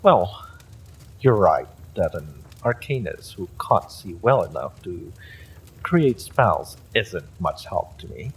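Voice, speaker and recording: A man playing an evil sorcerer warlord in a fantasy audio roleplay, mocking and menacing with smug courtesy and a theatrical, drawn-out delivery. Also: deep voice, very animated, breathy edge, exaggerated voice, low-quality recording. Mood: mocking, musing. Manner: taunting, theatrical, drawn-out delivery with sinister chuckles.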